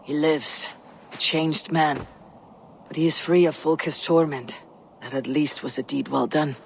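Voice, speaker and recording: Stoic Viking war leader, faint noise. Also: female voice, sits low, steady tone, unhurried, gravelly. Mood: calm, grave. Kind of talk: dramatic video game acting.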